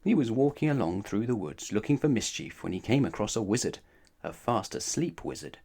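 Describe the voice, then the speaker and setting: A man's voice: fairly low pitch, very animated, fairly quick, creaky, mellow. A man reading a children's story aloud as narrator, expressively and with dramatic emphasis. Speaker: storybook reader.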